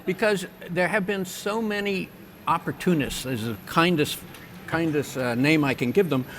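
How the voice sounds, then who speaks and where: An older man, a company president, answering interview questions on a conference stage in a measured, engineer-like way with frequent ums and restarts. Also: fairly high pitch, fairly bright tone, a little hiss or hum. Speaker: wind turbine company president.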